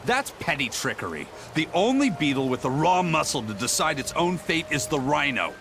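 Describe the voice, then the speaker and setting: A man's voice: a little high, big pitch swings, moderate pace, background noise. A man voicing a stern, proud pirate in a video game, matter-of-fact but dramatic. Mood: indignant. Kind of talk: English video game voice acting.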